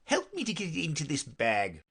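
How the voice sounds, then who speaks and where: Storybook knight, done by a male narrator: earnest, bumbling old eccentric, fussy emphasis, pitch swinging widely. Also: huge pitch swings, normal speed, a bit bright, slightly rough, full character voice.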